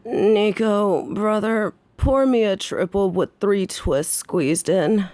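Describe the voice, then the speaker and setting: A woman's voice: medium pitch, takes it slowly, dark tone, close to an everyday voice. A woman playing a menacing, short-tempered yet protective female mafia boss in a scripted audio roleplay, with a theatrical, brooding delivery. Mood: grim, irritated.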